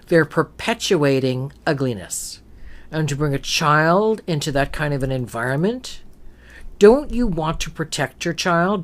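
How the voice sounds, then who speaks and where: Low-voiced woman preaching on a talk show. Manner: emphatic, preachy rhetorical questions. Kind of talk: opinionated spiritual talk.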